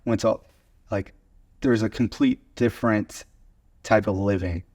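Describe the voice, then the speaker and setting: A young American man in his twenties speaks in a fairly low voice. He tells personal stories in a casual, conversational way, as a guest in a podcast interview. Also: unhurried, a little mellow, breathy edge. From small town in Oklahoma, USA. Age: 20s. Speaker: podcast guest, video studio owner. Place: converted garage video studio.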